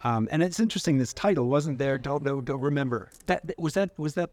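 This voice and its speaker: A man's voice: fairly high pitch, expressive, a little fast, darkish tone, no echo. An older man asking questions in a casual interview, conversational and a little hesitant as he talks.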